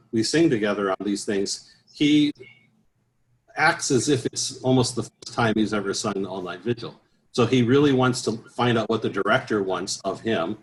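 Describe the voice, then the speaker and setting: Middle-aged male singer, lowish voice, conversational and rambling, slight background noise. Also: poor audio. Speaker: interview guest, professional choral bass singer. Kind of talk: casual interview talk.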